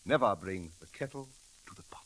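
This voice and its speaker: Very proper English manservant. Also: male voice, low voice, animated, fairly quick, a bit bright, poor audio. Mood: earnest, fussy. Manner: formal, hesitant, courteous. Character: proper, polite English manservant mistaken for a colonel. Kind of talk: old-time radio comedy acting.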